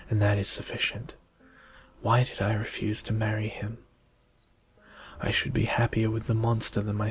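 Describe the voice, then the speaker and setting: Guy softly reading a kind sorry young storybook maiden, low and very even, gentle n earnest. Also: close to an everyday voice, low-quality recording. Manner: gentle, earnest, formal old-fashioned phrasing. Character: kind, remorseful young woman, storybook heroine.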